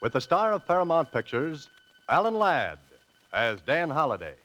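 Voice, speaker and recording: A man's voice: on the high side, very animated, moderate pace, poor audio. A man announcing a 1940s radio drama in a dramatic, declamatory style. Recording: old radio recording, loud orchestral music.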